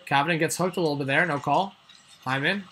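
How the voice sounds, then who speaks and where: Young guy calling hockey live for chat, kinda lost his voice, bright tense tone. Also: fairly high pitch, quick pace. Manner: rambling, talks to chat viewers. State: losing his voice, slight cough. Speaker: live watch-along host. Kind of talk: casual live play-by-play chat.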